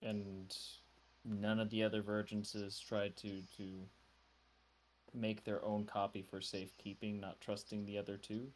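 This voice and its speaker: A man with a fairly low voice takes part in a tabletop roleplaying game. He asks questions in character in a casual, conversational style. There is faint noise behind him. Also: level tone, unhurried, a little mellow, low-quality recording.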